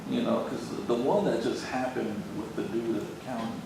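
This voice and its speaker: A man's voice: medium pitch, fairly even intonation, fairly quick, creaky. A man in an audience asking probing questions in a casual, colloquial way. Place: large echoey indoor arts venue. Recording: very echoey room, distant mic, noisy.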